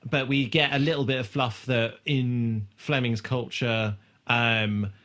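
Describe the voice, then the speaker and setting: A man's voice: medium pitch, fairly even intonation, measured pace, fairly bright tone. A man co-hosting a podcast, casually recapping a TV episode in an irreverent, sweary way. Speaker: podcast host.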